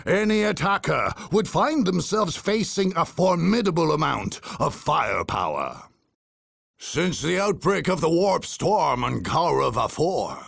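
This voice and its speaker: A grandiose war chronicler narrates a dark sci-fi game cutscene. The voice is husky and fairly high for a man, swinging theatrically in pitch as it lands each portentous phrase. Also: no room sound, studio quality, heavily acted. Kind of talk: dramatic scripted narration.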